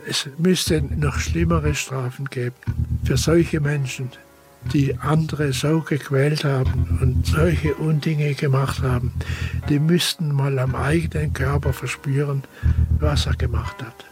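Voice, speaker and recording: A man giving spontaneous testimony as an interviewee, speaking in an earnest, halting way. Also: a little high, fairly even intonation, darkish tone, faint music behind, poor audio.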